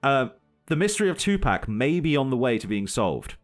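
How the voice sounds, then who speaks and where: A man's voice: medium pitch, very animated, a little fast. Joking, laughing male podcast co-host. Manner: joking, laughs often, rambling asides. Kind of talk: casual chat.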